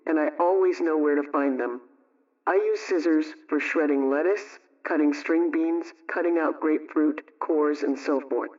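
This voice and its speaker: A man reading an old household radio script aloud in the first person, in an even, unhurried way. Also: on the high side, recorded from a distance. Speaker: reader. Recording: dull lo-fi sound, away from the mic.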